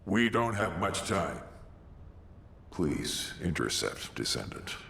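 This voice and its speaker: A menacing male villain from a video game. He is extremely deep and heavily creaky. His slow words echo. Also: very animated, a bit bright, a little hiss or hum, exaggerated voice. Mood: ominous.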